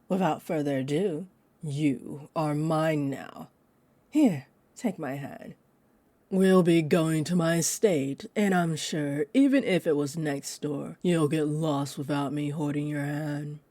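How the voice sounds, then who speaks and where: A woman acts out an ancient female vampire in a roleplay drama, with a low-pitched voice and slow, deliberate delivery. She is haughty and possessive, teasing her prey with amused condescension. The sound is close and very dry. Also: darkish tone, dry recording. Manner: haughty, unhurried, amused, drifting into breathy near-whispers. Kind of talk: roleplay audio drama monologue, addressed to listener.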